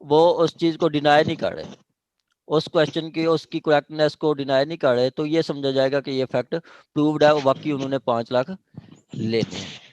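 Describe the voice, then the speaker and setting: A man's voice: fairly high pitch, fairly even intonation, normal speed, a little mellow, no echo. A man with a Pakistani accent lecturing on statute law in a didactic way, checking in with listeners as he explains. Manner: didactic, punctuates points with check-in questions.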